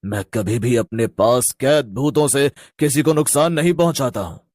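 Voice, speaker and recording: A man's voice: mid-range voice, moderate pace, darkish tone, dry recording, clean studio sound, natural voice. A man playing a stern tantric sorcerer in a cartoon horror story, speaking in an emphatic, admonishing way. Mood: dismayed. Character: stern tantric sorcerer who keeps captive ghosts. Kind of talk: animated horror story dialogue.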